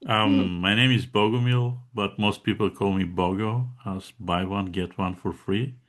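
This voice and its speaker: A man's voice: lowish voice, steady tone, measured pace, a bit bright. A man from Bulgaria with a Bulgarian accent, a guest chatting casually in an interview, with lots of fillers and restarts. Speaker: guest contributor.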